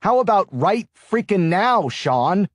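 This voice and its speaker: A man's voice: high voice, big pitch swings, slow pace, dry recording, clean studio sound, pitched above the performer's own voice, full character voice. A man voicing a sarcastic, exasperated thriller hero in audiobook dialogue. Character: sarcastic, exasperated male amateur sleuth hero.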